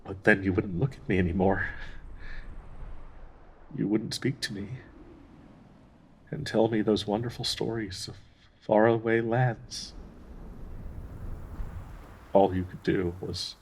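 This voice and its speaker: An actor playing a devoted, grieving male dryad in an intimate audio roleplay, speaking tenderly and haltingly with a husky, breathy voice. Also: lowish voice, fairly even intonation, darkish tone, a little hiss or hum. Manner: slow, halting, tender pleading with sighs.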